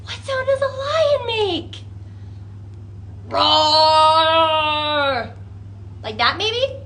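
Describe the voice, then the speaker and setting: A woman leading an interactive children's storytime as a librarian, talking in an animated way with exaggerated wonder and coaxing questions. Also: extremely high pitch, very slow.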